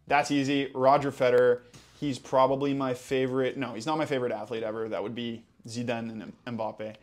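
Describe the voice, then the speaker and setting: Young american guy, casual Q&A host, chatty and enthusiastic, mid-pitched voice. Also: little pitch movement, a little fast. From Hawaii. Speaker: tennis channel host. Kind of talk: casual Q&A vlog.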